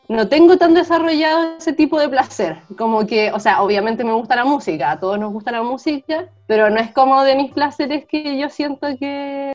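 A woman's voice: high voice, a little mellow. A woman with a Chilean accent co-hosting a podcast, explaining things in a casual, chatty way. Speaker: podcast co-host. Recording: dull lo-fi audio, music underneath.

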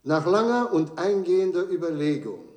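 An actor playing a solemn, troubled judge delivering a verdict in a radio drama, with formal, grave and emphatic phrasing. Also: male voice, high voice, sing-song, moderate pace, darkish tone. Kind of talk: German radio drama courtroom speech. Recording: echoey courtroom broadcast sound.

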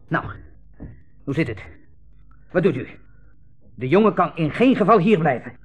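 A man's voice: a little high, very animated, takes it slowly, husky, soft background music, heavily acted. A man acting a brusque, cynical army captain in a 1960s Dutch radio play, with an offhand, dismissive manner. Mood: brusque, impatient. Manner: hesitant hemming, offhand, dismissive.

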